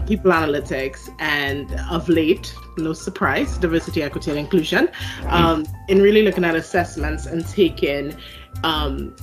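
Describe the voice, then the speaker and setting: Guest, female, Trinidadian accent, low-pitched, articulate and good-humored, faint music behind. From Trinidad and Tobago. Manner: articulate, laughs easily. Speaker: guest, people analytics expert. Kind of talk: interview answers.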